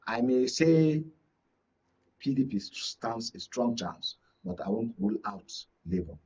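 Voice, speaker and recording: A man with a Nigerian accent speaking as a media analyst in a live political discussion, phrasing his points deliberately and with emphasis. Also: medium pitch, animated, a little mellow, husky, muffled recording. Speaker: media analyst, guest pundit.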